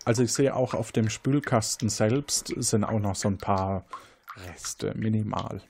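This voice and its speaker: A man's voice: fairly low pitch, a little slow, gravelly, darkish tone, slight background noise. A man hosting an interactive crime game, narrating scenes as game master in a partly improvised, playful way.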